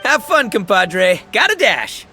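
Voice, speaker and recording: An actor playing a brash, boastful, good-natured young oni gang leader in a video game, slangy and exuberant. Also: male voice, sits high, big pitch swings, measured pace, pushed and bright, exaggerated voice. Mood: upbeat. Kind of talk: video game character acting. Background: music.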